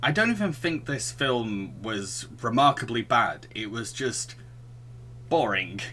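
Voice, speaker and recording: A man's voice: mid-range voice, expressive, takes it slowly, bright, forceful tone, a little hiss or hum. A man hosting a casual film review podcast, opinionated and mocking in manner. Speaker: podcast host.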